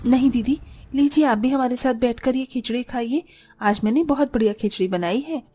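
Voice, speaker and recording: A woman playing a caring, soft-spoken young eldest daughter in a Hindi cartoon story, earnest and polite. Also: fairly high pitch, a little fast, close to an everyday voice. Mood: welcoming.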